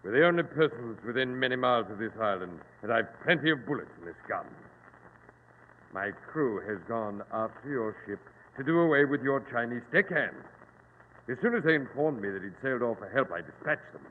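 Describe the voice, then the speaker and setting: An actor playing a menacing, boastful pirate captain in formal, grandiloquent old-time radio drama style. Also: male voice, animated, brisk, in a large hall, close to an everyday voice. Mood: cold menace. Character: menacing, boastful modern pirate captain holding captives at gunpoint. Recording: muffled, noisy old radio recording, boomy.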